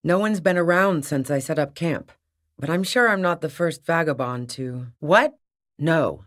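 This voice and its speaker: A woman's voice: low-pitched, expressive, a little slow. A tough, sardonic fugitive woman. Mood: guarded, wry. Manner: blunt, sardonic, profane slangy talk. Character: tough, wary fugitive woman, wry and defiant radio tinkerer. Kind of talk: video game character dialogue.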